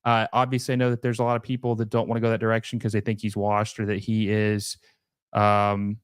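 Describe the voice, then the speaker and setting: A man's voice: on the low side, monotone, a little fast, clean tone, no room sound, studio quality. Rambling man chatting on a live stream. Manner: rambling, many fillers and restarts. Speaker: livestream host. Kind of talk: casual live stream chat.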